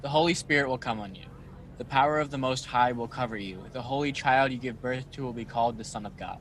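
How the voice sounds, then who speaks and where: A man playing a calm, authoritative messenger angel in a radio play, speaking in a solemn, measured way. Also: mid-range voice, a little hiss or hum, natural voice, rough recording. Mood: solemn, proclaiming.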